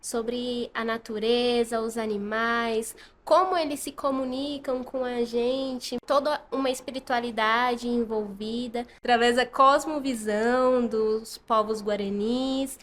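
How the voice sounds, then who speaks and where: High-voiced woman hosting a podcast. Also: little pitch movement, slow, hardly any pauses, slight background noise. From Brazil. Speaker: podcast host. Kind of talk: podcast interview.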